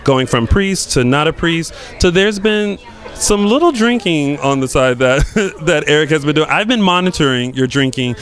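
A man in his thirties hosting an interview, chatty and eager and quick to agree, holding a handheld mic at an indoor event. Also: a little high, animated, a bit bright. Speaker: interviewer host. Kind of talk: casual interview chat.

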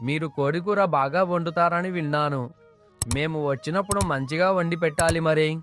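A man's voice: a little high, brisk, fairly bright tone, close to an everyday voice. A man voicing an effusive, respectful, food-loving village customer in a cartoon story. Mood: eager. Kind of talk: animated moral story dialogue. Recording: music under the voice.

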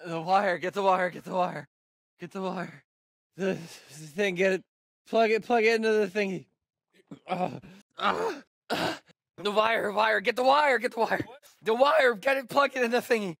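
A man's voice: high voice, steady tone, moderate pace, creaky, fairly bright tone, dry recording, studio quality, full character voice. A young man with an American accent playing a sarcastic, nervy camp counselor in a video game, speaking in a hesitant, stammering way. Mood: panicked, pained. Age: young adult. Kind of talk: naturalistic video game acting.

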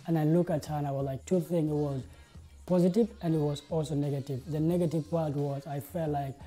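Dark-voiced young man. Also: on the high side, steady tone, brisk, soft background music. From South Sudan. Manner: earnest, many "uh" and "like" fillers. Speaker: interviewee, game designer. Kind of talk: interview monologue to camera. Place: indoor studio with plain backdrop.

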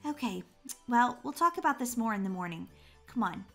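A woman voicing a calm, gently firm mother in an acted children's family story, speaking in a measured way. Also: fairly high pitch, faint music behind.

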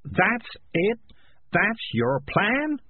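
A man voicing a self-assured, fanciful young girl in a storybook, eager and quick to take offence. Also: high-pitched, very animated, voice pushed up, heavily acted. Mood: confident. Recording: muffled phone-like audio, clear background noise.

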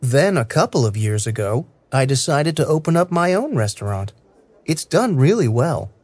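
Brief: male reader for learner audio, careful diction, lively intonation, dry booth sound. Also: mid-range voice, normal speed, dry recording. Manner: clear, careful, evenly paced delivery. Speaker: English listening practice reader. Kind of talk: slow scripted reading for learners.

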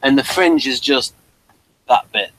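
A man's voice: mid-range voice, unhurried, fairly bright tone, low-quality recording. A man hosting a casual review chat, explaining things in a rambling way.

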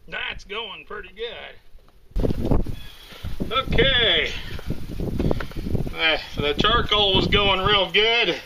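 An older man hosting his own cooking video, narrating in a slow, plainspoken way while he grills in a snowy rural yard. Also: sits high, animated. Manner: slow, plainspoken, talking to himself as he works. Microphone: camera on a tripod some distance away. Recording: outdoor camera audio with loud wind and yard noise.

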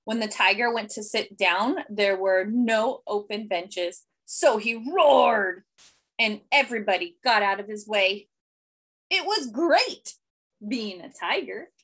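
A woman in her thirties reading a children's picture book aloud expressively, with deliberate, simple phrasing and emphasis. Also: mid-range voice, animated, a bit bright, breathy edge. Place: small indoor room.

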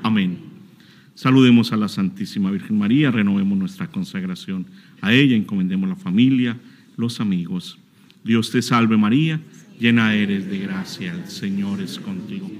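A man's voice: fairly low pitch, normal speed, a little hiss or hum. A man priest leading prayers and blessings at an outdoor shrine Mass, in a solemn recited cadence. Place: outdoor shrine chapel altar.

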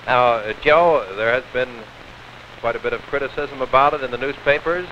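A middle-aged American man conducting a 1950s television sports interview, halting and deliberate, on a handheld mic. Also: medium pitch, bright, forceful tone. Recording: dull, hissy old 16mm film sound.

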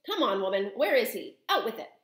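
A woman performs an outraged English gentleman and father from a children's storybook. He blusters and splutters indignantly, his pitch high and swooping. The room rings a little around him. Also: sing-song, a little fast, reverberant. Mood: angry.

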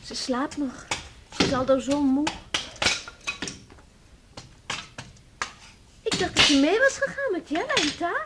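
A woman acting an eager, protective young girl who gently scolds, in a noisy 1960s radio play. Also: sits high, very animated, normal speed. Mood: eager, curious.

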